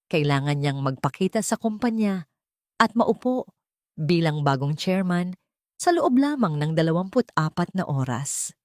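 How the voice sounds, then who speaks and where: A woman's voice: fairly low pitch, big pitch swings, unhurried, dry recording, clean studio sound. A woman reading a scripted story aloud in a dramatic storytelling style. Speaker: storyteller narrator.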